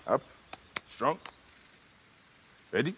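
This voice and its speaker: A man's voice: drawn-out words, heavy background noise. An actor playing a determined, vengeful warrior and tender father in a video game cutscene. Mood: playful, encouraging.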